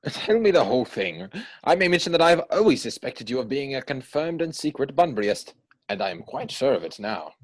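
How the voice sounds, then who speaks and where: A man's voice: medium pitch, big pitch swings, brisk. A man playing a witty, idle young dandy who pries playfully in arch, formally phrased banter, in a dramatic reading of a Victorian stage comedy. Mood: prying, insistent. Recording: home recording over video call.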